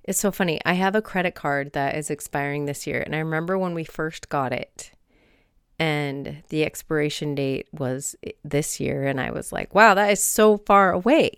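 A woman in her forties hosting her own podcast, chatting in a rambling, friendly way with soft laughs, a little hoarse from a cold. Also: deep voice, normal speed, no echo, clean studio sound. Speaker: podcast host. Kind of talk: casual solo podcast chat.

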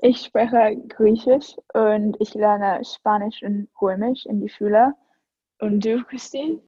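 Very slow, mellow teen girl. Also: mid-range voice, steady tone, breathy edge, no room sound, highs cut off. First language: Greek. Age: teens. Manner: deliberate, searching for words. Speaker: student podcaster. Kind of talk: casual chat.

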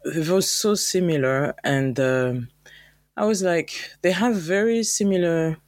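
A woman with a French accent, a guest founder on an interview podcast, talking upbeat and animated and sometimes searching for words. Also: lowish voice, unhurried, no room sound, clean studio sound. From France. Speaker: guest, travel company founder. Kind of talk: conversational interview.

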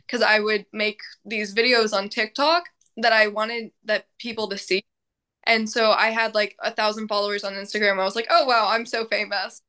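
Bright-voiced young woman, casual and chatty. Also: mid-range voice, smooth. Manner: casual, filler-heavy, laughs often. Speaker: podcast guest, mental health advocate. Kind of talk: casual interview chat.

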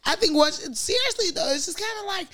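A high-pitched woman of Nigerian origin who grew up in America gives her opinions bluntly and casually. She talks with plenty of slang and little hedging. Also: expressive, brisk, sharp tone. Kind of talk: casual podcast chat.

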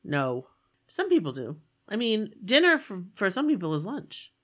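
Hoarse, congested woman podcast host. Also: medium pitch, huge pitch swings, moderate pace, fairly bright tone. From Maine. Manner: chatty storyteller, laughs often. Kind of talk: casual chat.

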